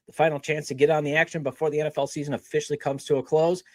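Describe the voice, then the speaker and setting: A man's voice: a little high, flat delivery, racing, no room sound. A man reading scripted sponsor and show promos as a sports podcast host. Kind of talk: scripted ad read.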